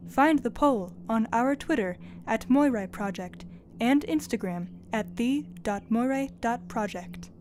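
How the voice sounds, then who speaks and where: A woman playing a calm guide who reads scripted announcements in a measured, formal way. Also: a little high. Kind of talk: scripted announcement, credits reading.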